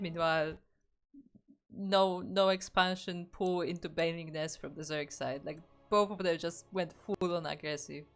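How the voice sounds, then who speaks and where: A woman's voice: lowish voice, fairly bright tone. A woman from Poland with a Polish accent, casting a live game tournament in a chatty way, often restarting her sentences. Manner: chatty, reads out chat, frequent restarts. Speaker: esports caster.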